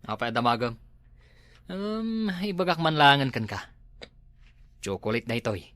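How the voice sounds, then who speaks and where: A man's voice: medium pitch, big pitch swings, normal speed, a bit bright, dry recording. An Ilocano man with a medium voice who plays a nosy, chuckling neighbor. The recording is dry and close, with no room sound. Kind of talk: comedy drama acting.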